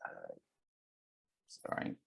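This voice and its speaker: A man with an Australian accent presenting a technical webinar over a video call, relaxed and full of fillers and restarts. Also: very slow.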